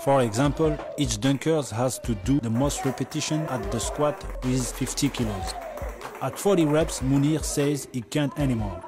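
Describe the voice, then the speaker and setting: A man's voice: mid-range voice, moderate pace. A man in his forties with a non-native English accent, a coach giving scripted documentary narration. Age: 40s. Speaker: dunk training camp coach. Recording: music behind the voice. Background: music.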